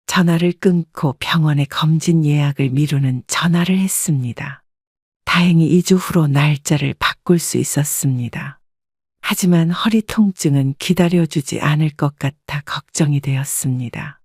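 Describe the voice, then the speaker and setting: A woman's voice: sits low, moderate pace, darkish tone, dry recording, studio quality. A woman reading a scripted first-person story as an audiobook narrator.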